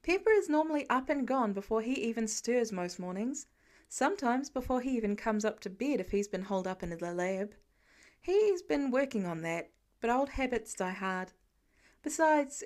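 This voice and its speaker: A woman's voice: on the high side, a little mellow. A woman narrating a scripted story as an amateur audiobook reader.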